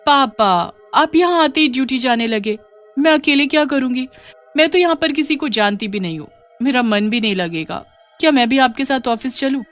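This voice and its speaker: A woman's voice: high-pitched, lively intonation, moderate pace, a bit bright, soft background music, voice pushed up. A woman voicing a clingy eighteen-year-old daughter in a story, worried and questioning. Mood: worried pleading. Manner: pleading, questioning. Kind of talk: Hindi story dialogue.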